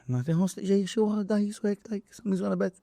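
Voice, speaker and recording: A man's voice: sits high, fairly even intonation, fast talker, vocal fry, warm tone, no echo. Maltese man telling stories, very dry sound. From Malta. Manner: acts out dialogue and impact sounds; non-native, broken English with Maltese mixed in. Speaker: boxer and boxing trainer, interview guest. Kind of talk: casual animated storytelling.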